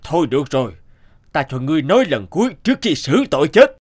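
A man's voice: fairly high pitch, big pitch swings, fast talker, background noise. A man voicing an old, trusting king in a fairy tale cartoon, regal and dramatic in manner. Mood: stern, angry. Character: old, trusting king, doting yet quick to anger.

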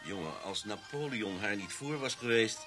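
An actor playing a jovial, wry middle-aged police inspector in a Dutch radio drama, with a folksy, mocking way of talking. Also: male voice, fairly low pitch, animated, normal speed, over music, natural voice.

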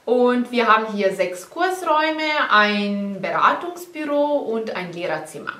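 A woman from a language course project, presenting in a lively, upbeat way. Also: fairly high pitch, unhurried. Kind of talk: cheerful presentation. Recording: echoey room.

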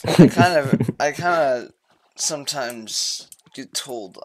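A man's voice: mid-range voice, takes it slowly, a crowd in the background. An eighteen-year-old man chatting on a headset while gaming, rambling and joking crudely. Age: teens. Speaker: gamer on voice chat. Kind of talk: casual chat while gaming. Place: small room indoors.

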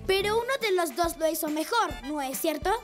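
A woman's voice: very high-pitched, animated, a little fast, over music. A woman voicing an eager, competitive young boy motorbike in a children's cartoon dub, earnest and childlike. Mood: indignant, jealous.

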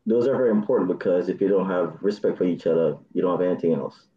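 A man in his thirties with a Jamaican accent, chatting on a video call as a dating show contestant, soft-spoken with nervous laughs. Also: low voice, fast talker, roomy sound, muffled recording. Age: 30s. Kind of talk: casual video call chat.

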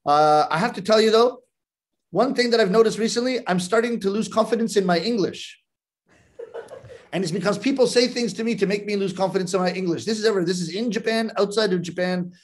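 A man in his forties from Canada performs comic stories on a video call from home. He enunciates crisply and theatrically, joking with his audience. His voice sits noticeably high for a man. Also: brisk. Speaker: rakugo storyteller. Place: living room at home, indoors. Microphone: webcam on a video call.

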